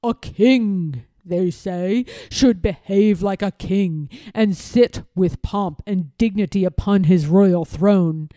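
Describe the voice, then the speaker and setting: A woman's voice: mid-range voice, slow, few pauses, mellow, no echo, close to an everyday voice. A woman voicing a scheming, bullying lord of a royal castle in a children's story, with a harsh, commanding manner. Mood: false solemnity.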